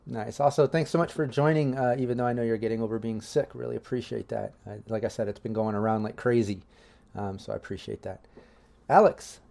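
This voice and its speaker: Mellow-voiced man hosting a live stream. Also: mid-range voice, fairly even intonation, brisk, creaky. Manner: chatty, loose, many fillers. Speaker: game master and stream host. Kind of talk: casual livestream chat.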